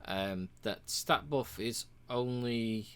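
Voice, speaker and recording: A man casually walking through a game's achievements, relaxed and rambling, with frequent ums and uhs. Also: mid-range voice, slow, smooth. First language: English. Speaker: game reviewer.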